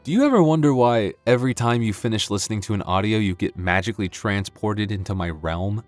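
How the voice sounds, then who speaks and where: A man's voice: on the low side, animated, fairly quick, no room sound, soft background music. A man who makes roleplay audio, chatting casually to his listeners in a rambling, jokey, self-deprecating way. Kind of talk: casual outro chat to listeners.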